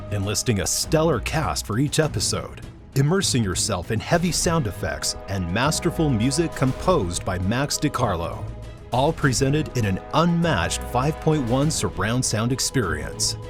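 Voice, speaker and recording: A man announcing in a scripted promotional voice-over. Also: medium pitch.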